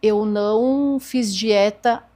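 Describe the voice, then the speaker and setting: A woman's voice: mid-range voice, extremely slow, dark tone. A woman in her forties, a podcast guest earnestly telling her own story and acting out past conversations. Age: 40s. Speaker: podcast guest, therapist and speaker.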